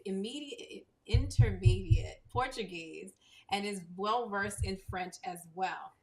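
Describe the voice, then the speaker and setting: A woman's voice: medium pitch, rough recording. Enthusiastic woman talk show host. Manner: enthusiastic, adds asides while reading. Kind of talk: reading a guest bio and hosting.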